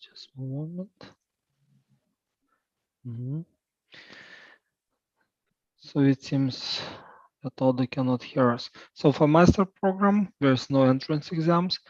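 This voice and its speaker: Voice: mid-range voice, slow, long pauses, darkish tone, dry recording, highs cut off. A university admissions representative answering applicant questions patiently and helpfully, with frequent hesitations.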